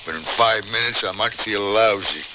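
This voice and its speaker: An actor playing a wounded, gruff old uncle with a gravelly, folksy voice in a radio western. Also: male voice, fairly low pitch, normal speed, natural voice. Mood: weary, gruff. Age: older. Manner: folksy, plain-spoken, gruff. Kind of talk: radio western drama acting. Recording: noisy old radio drama recording.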